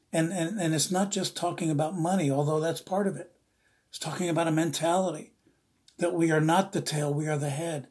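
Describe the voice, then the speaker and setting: A preacher from the East Coast of the US with a very husky, rough-edged voice and a medium pitch. The delivery is informal and devotional, earnest and rambling, circling back to repeat key phrases. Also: darkish tone. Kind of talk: informal devotional Bible teaching.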